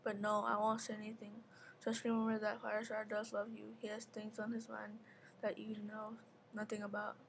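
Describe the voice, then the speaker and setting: A woman's voice: medium pitch, monotone, a little fast, lightly acted. A woman playing an earnest, bossy young warrior cat in readers theater. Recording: faint background noise, live ambience.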